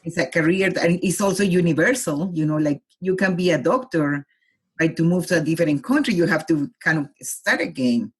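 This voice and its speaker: A Colombian-born woman in her forties, a guest with a husky voice, talking reflectively in a casual interview and laughing easily. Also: on the low side, animated, brisk.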